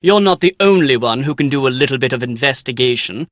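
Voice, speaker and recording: An actor playing a pompous, excitable British scholar and would-be author in a video game, speaking in bookish, theatrical diction. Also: male voice, mid-range voice, fast, dry recording, studio quality, close to an everyday voice. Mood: conspiratorial. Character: Nigel Mukherjee, pompous, excitable British male scholar writing family history. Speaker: researcher and aspiring author. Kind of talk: video game character dialogue.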